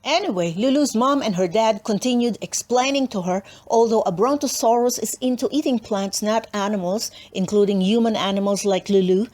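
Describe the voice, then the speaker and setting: A woman's voice: medium pitch, moderate pace, hardly any pauses, darkish tone. A woman narrating a children's storybook expressively, with dramatic emphasis and playful asides. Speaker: storybook reader.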